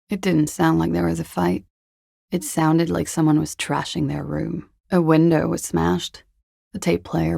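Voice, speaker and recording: A woman's voice: on the low side, vocal fry, darkish tone, no echo, exaggerated voice. An actress playing a cool, sardonic, world-weary young woman in a video game, speaking with languid, dry politeness in an intimate voice. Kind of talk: video game character dialogue.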